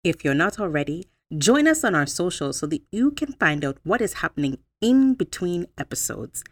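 A woman hosting as a storyteller, telling folktales with dramatic pauses and emphasis. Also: sits low, no room sound. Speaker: storyteller, host.

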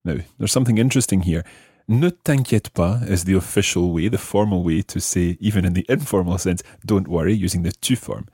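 Low-voiced man teaching, husky edge. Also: dark tone, no room sound. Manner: didactic, repeats phrases deliberately. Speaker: language lesson presenter. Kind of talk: explaining French grammar phrase by phrase.

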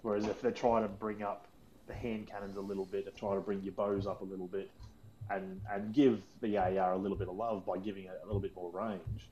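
A man's voice: on the low side, little pitch movement, a little fast, dark tone, dull audio, slight background noise. A man co-hosting a casual gaming podcast, teasing his friends and explaining at length. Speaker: podcast co-host.

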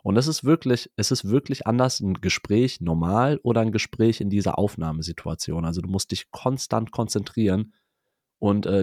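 A man with a lingering cold talks through his podcast year in a relaxed, rambling way. His voice sits a little low and sounds close and dry, with no room around it. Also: no echo. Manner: reflective, talks in loose run-on sentences. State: has a cold. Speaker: podcast co-host. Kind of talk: casual podcast chat.

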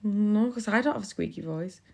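A woman's voice: mid-range voice, moderate pace, gravelly. A young woman, co-hosting a casual couple's podcast, playful and teasing. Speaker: podcast co-host. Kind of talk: casual chat.

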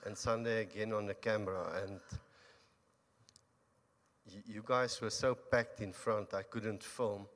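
A man giving a halting, unscripted testimony in a church, with many restarts. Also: fairly low pitch, fairly even intonation, a bit bright. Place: church hall. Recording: long hall reverb.

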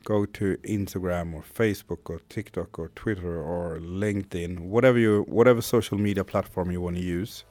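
A man's voice: deep voice, fairly even intonation, normal speed, few pauses, a little mellow, no room sound. A man in his thirties with a Swedish accent, hosting a candid, reflective monologue podcast and swearing casually. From Sweden. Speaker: podcast host.

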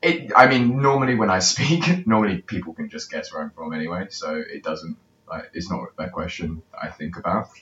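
A man's voice: lively intonation, moderate pace, fairly bright tone. A man from England, self-deprecating and laughing as he answers. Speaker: interviewee. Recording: distant, echoey, muffled with low hum.

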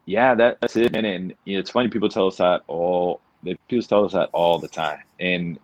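Deep-voiced man in casual conversation. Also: steady tone, brisk, no echo. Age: 40s. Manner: informal, peppered with "man" and "you know". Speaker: podcast guest. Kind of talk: casual, rambling chat. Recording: dull lo-fi audio.

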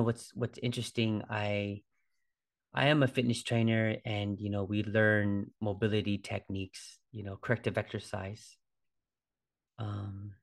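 A man in his forties talking casually as a podcast host, informal and conversational, often checking in with a "right?". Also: lowish voice. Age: 40s. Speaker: podcast host, fitness trainer. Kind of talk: casual solo podcast talk.

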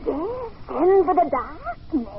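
Spectral old lady: high-pitched, slow, simpering and spiteful, theatrical old radio style, dull recording. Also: very animated, slight background noise, heavily acted. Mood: spiteful, eerie. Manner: theatrical, drawn-out. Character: pale, simpering, venomous ghostly elderly woman. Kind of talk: old-time radio drama acting.

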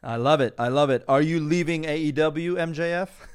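A man's voice: on the high side, moderate pace, clear, resonant tone. Laughing man hosting a podcast. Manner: loose, laughing, rambling. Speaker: podcast host. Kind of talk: casual podcast chat.

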